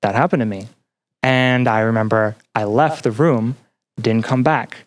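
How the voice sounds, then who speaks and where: A young man answering as a podcast guest in an earnest, conversational way, haltingly repeating phrases as he thinks. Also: medium pitch. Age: young adult. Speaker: podcast guest, student and teaching assistant.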